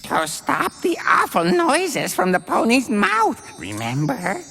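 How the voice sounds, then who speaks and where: An actor playing a gruff, plodding dog creature henchman in a cartoon, with a gravelly voice. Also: mid-range voice, wildly swooping pitch, slow, bright, forceful tone, soft background music, heavily acted. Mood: gruff, matter-of-fact. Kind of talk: animated cartoon acting. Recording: long reverb.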